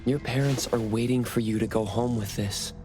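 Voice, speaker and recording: A man's voice: mid-range voice, fairly even intonation, normal speed, over music, natural voice. An actor playing an earnest, perceptive young male hero in a video game. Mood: earnest, coaxing. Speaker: main character. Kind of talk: English video game voice acting.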